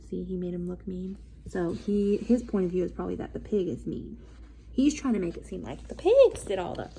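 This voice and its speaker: A woman teacher reading a story aloud, pausing to explain things simply for young listeners. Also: on the low side, creaky, mellow, slight background noise, poor audio. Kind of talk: read-aloud storytelling with asides to children.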